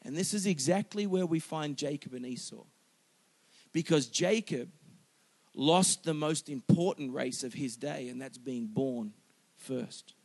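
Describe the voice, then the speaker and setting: High-voiced animated male preacher. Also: unhurried, husky. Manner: animated, emphatic, joking with the congregation. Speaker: pastor. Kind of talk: sermon.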